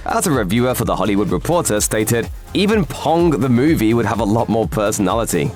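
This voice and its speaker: A man delivers scripted video essay narration with a sardonic, emphatic edge. His pitch moves around in a lively way as he stresses key words. Music plays quietly underneath. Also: fairly quick. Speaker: voiceover narrator. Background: background music.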